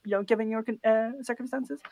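A woman's voice: on the high side, steady tone, quick pace, gravelly, noisy background. A woman co-hosting a podcast, asking curious questions in a stumbling way with many repeats of "you know". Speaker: podcast co-host. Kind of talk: casual podcast conversation.

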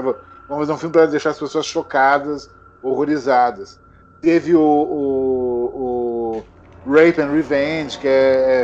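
A man speaking as the invited expert, explaining in a halting way and often repeating words as he searches for them. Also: mid-range voice, darkish tone, lo-fi sound. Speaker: film genre expert guest. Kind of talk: casual explanatory chat.

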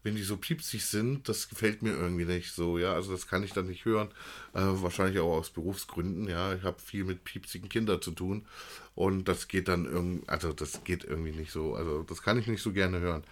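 A man's voice: sits low, steady tone, fairly quick. Casting: man, informal co-host who rambles and talks to the audience. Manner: rambling, addresses listeners directly. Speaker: podcast co-host. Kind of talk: casual chat about audio dramas.

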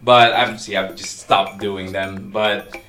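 A man co-hosting a podcast, speaking American-neutral English with frequent Filipino inflections. His voice is bright and forceful, and he talks in an animated, chatty way. The sound is roomy and echoey, picked up from a little way off the microphone. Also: on the low side, slow pace, recorded from a distance, soft background music. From Philippines. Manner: animated, chatty, laughs while teasing. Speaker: podcast co-host. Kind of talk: casual podcast chat. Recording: echoey room, voice a bit off-mic.

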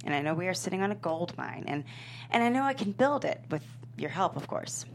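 A woman playing a confident, upbeat young sports management professional at a comedy table read, brisk and wry. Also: lowish voice, very animated, slight background noise. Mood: assured, wry.

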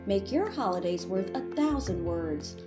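A woman's voice: medium pitch, animated, unhurried, over music. A woman reading scripted commercial narration as a voice-over artist.

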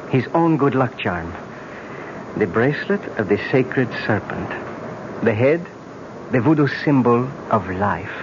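A man playing a courteous, solemn young prince in danger, speaking with measured politeness in a 1970s radio drama. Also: medium pitch. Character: grave, courteous young prince marked for death. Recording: muffled, very noisy old radio recording.

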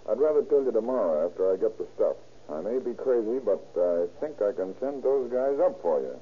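1930s radio police lab man, american, deep-ish raspy, matter of fact, tinny old recording. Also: animated, fairly quick. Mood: confident, explaining. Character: matter-of-fact, self-assured male police forensic chemist. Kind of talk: 1930s American radio crime drama acting.

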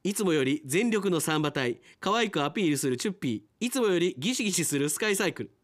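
A male radio announcer in relaxed after-show mode. His pitch moves around widely and expressively as he reads out mail and adds chatty remarks of his own. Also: quick pace. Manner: chatty, commenting on the stories he reads. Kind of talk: casual podcast after-talk, reading listener mail.

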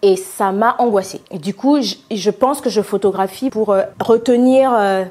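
A woman's voice: mid-range voice, moderate pace, darkish tone. A woman in her forties from Congo, a photographer speaking thoughtfully with frequent hesitations. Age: 40s. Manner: thoughtful, hesitant, many fillers. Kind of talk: documentary interview testimony.

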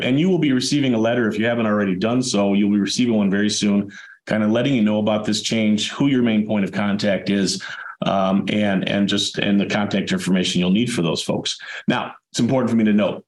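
A man hosting a webinar in a relaxed, conversational way, his voice hoarse, raspy and dry from a chest cold. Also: on the low side. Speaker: webinar host. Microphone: video call. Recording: echoey room over a video call.

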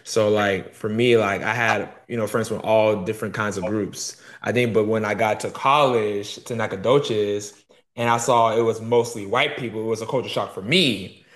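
A man's voice: fairly low pitch, fairly even intonation, normal speed, a bit bright. A man from Texas hosting a podcast, chatting casually with many restarts and "you know"s. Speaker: podcast host. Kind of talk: casual conversation.

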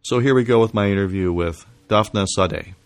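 A man hosting a podcast, asking halting questions full of restarts. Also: on the low side. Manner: halting, many fillers and restarts. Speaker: podcast host. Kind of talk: interview questions.

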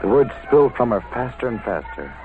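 A man's voice: mid-range voice, big pitch swings, brisk, phone-quality sound, natural voice. An American man performing intense, hard-boiled narration for a 1940s radio crime drama. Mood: intense, dramatic. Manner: dramatic, urgent storytelling. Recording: old radio broadcast with music.

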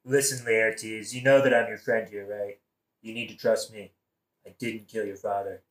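A man's voice: fairly low pitch, level tone, normal speed, natural voice. A man playing a scheming king who placates with false sympathy, speaking casually and confidingly in a satirical audio play. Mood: confiding, evasive. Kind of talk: modern-language satirical audio play acting. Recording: roomy echo.

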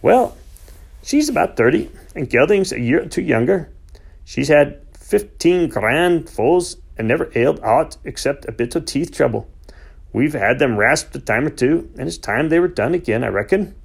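A man voicing a gruff, blunt old male farmer with a Yorkshire accent. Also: a little high, animated, moderate pace, pitched above the performer's own voice, heavily acted. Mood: dry, explaining.

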